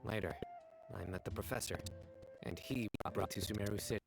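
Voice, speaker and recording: An actor playing a stern, reserved investigator in a video game, speaking in a measured, formal, serious way. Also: male voice, lowish voice, vocal fry, over music. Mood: serious, reflective. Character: stern, reserved male investigator with a mysterious past. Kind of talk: English video game voice acting.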